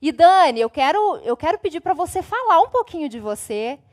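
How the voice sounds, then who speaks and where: A woman hosts an online panel in a clearly high voice. She turns to the audience and to her guest and keeps the talk moving in an upbeat way. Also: big pitch swings, fairly quick. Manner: addresses the audience directly and upbeat. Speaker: host.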